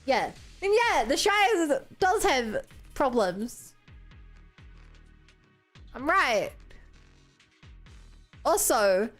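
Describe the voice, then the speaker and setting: A woman's voice: sits high, sing-song, extremely slow, long pauses, fairly bright tone, faint music behind. A woman with a New Zealand accent chatting casually as she streams a game. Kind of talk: casual livestream chat over a game.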